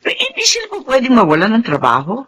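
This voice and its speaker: An actress playing a chatty, doting older mother-in-law excited to be a grandmother, in a Tagalog radio drama. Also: a little high, fast, slightly rough, heavily acted.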